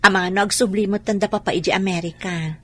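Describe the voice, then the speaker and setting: An actress playing a gentle, wry young wife in a radio drama. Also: female voice, mid-range voice, fairly even intonation, fast, lightly acted. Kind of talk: Ilocano radio drama acting.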